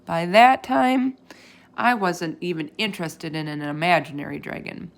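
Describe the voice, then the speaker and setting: Female storyteller for children's read-aloud: lower-pitched, noticeably creaky, expressive with animated emphasis. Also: moderate pace. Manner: animated emphasis, acts out character dialogue.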